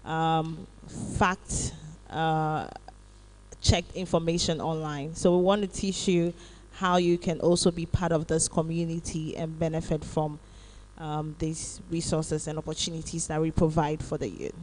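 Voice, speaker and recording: A woman's voice: low voice, little pitch movement, a little slow, darkish tone, slight background noise. A woman introducing herself and her foundation's youth program at a live event, with some hesitant fillers. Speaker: foundation representative.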